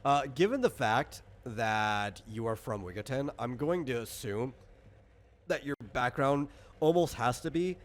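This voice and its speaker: A man's voice: mid-range voice, lively intonation, unhurried. A man casually walking a player through a tabletop game as game master, rambling, explanatory and freely opinionated.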